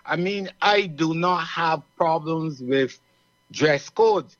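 A man's voice: fairly high pitch, slow, fairly bright tone, dry recording. Earnest Barbadian man, muffled dry sound. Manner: earnest, explaining at length. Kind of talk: casual radio chat.

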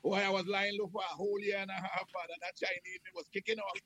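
A man from the Caribbean chatting casually as a guest on a video call, lively and laughing, sometimes breaking into a sung line. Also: high voice, fast talker, breathy edge, lo-fi sound. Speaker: guest entertainer.

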